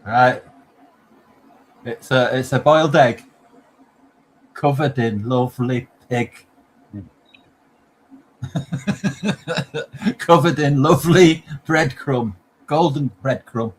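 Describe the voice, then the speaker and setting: A man's voice: medium pitch, very slow, pauses often, slight background noise, rough recording. A man from England with an English accent, chatting casually as a guest in a teasing, jokey way. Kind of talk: casual chat.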